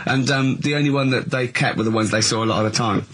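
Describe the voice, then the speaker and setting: A man in casual radio chat, explaining things haltingly and repeating his phrases. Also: medium pitch, a bit bright, slight background noise.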